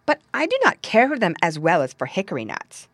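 A woman's voice: on the low side, big pitch swings, fairly quick, no room sound. A woman voicing a cheerful, confident young girl squirrel in a storybook, chattering in a chirpy, breezy way. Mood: helpful.

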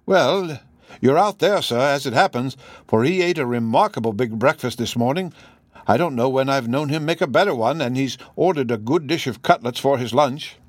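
Guy doing a gossipy housekeeper lady for a storybook, folksy, respectful, pitch jumps up a bit, dry sound. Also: normal speed, no room sound, lightly acted. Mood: chatty, surprised. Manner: chatty, deferential, folksy. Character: chatty, deferential working-class female housekeeper, plain-spoken. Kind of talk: audiobook character voice.

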